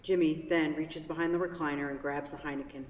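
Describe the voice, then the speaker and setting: A woman's voice: low voice, steady tone, fairly quick. A woman narrating and hosting a staged reading of a play. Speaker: stage-directions narrator and event host. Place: theatre hall. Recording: very echoey hall, distant mic.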